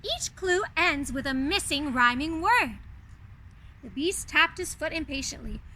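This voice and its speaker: High, bright woman storyteller, unhurried. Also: lively intonation. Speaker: storyteller playing a fairy-tale princess. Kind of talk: animated children's read-aloud.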